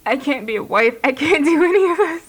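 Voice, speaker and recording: A woman's voice: sits high, expressive, fairly quick. A woman acting in an audio drama as a bitter, anguished young wife who wants out of motherhood. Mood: shocked, angry. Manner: bitter, emotional.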